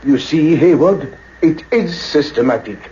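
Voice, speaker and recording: An actor playing an eager, excitable male scientist in a sci-fi radio play. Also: fairly high pitch, unhurried. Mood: intent, excited. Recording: dull radio recording with background noise.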